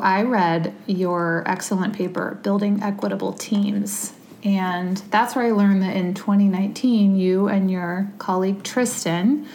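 Woman, interview host, chatty, asks with loose asides, mid pitch, faint background hiss. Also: a little mellow. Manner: chatty, self-deprecating asides. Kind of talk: conversational interview.

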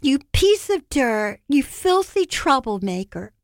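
A woman reader plays an angry, menacing man from a story, spitting threats and insults. The voice is high and emphatic, with a dry sound. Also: sing-song, takes it slowly, dry recording, full character voice. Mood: belligerent.

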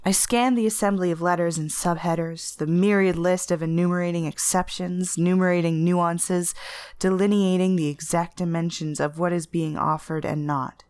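A woman reading literary first-person fiction as narrator, with an intense, deliberate and expressive dramatic delivery. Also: fairly low pitch, smooth, no room sound, studio quality. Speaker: story narrator.

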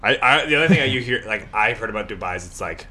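Young guy, low-ish voice with a bright hard edge, teasing and butting in. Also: lively intonation. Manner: quick interjections, teasing. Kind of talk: casual chat.

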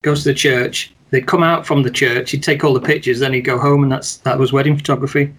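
Measured, rather monotone man. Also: medium pitch, slightly rough. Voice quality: somewhat monotone. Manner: measured, hesitant, many restarts. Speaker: guest, wedding photographer. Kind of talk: casual chat.

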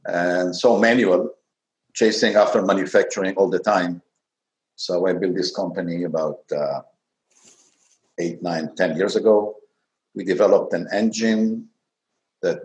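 Deep voiced guy, non native english, slips in grammar, echoey room. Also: darkish tone. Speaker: company founder, panel guest. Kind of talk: unscripted panel talk. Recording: roomy echo.

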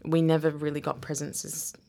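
A woman who grew up in Zambia talks as a guest in a casual interview. Her voice sits low and has a gravelly fry. She thinks aloud, restarts her sentences and laughs easily. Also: flat delivery, brisk, warm tone. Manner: reflective, many restarts and laughs.

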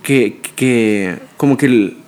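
A young man chatting as a podcast guest and telling a story in an animated way, with frequent restarts and repeated words. His speaking pitch sits right in the middle for a man. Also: takes it slowly. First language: Spanish. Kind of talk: casual chat.

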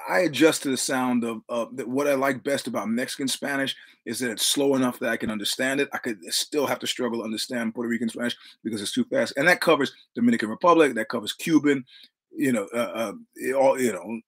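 A man's voice: medium pitch, fairly bright tone, breathy edge. A man in his sixties from New York City with a New York accent, telling rambling, profane anecdotes with quoted dialogue as a guest in casual conversation. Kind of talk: casual storytelling.

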